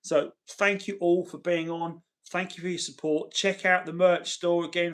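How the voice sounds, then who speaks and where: A man hosting a casual livestream, chatting chummily with viewers, his voice tired and getting gravelly. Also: fairly high pitch. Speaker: livestream host. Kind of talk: casual livestream chat, reading and answering viewer messages.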